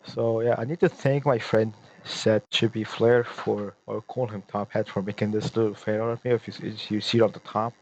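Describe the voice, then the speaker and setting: A man speaking casually and a little haltingly to his viewers as an amateur creator, on a recording with a buzz. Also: lowish voice, flat delivery, darkish tone, dull audio. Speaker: amateur voice actor and video creator. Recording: buzzing noise.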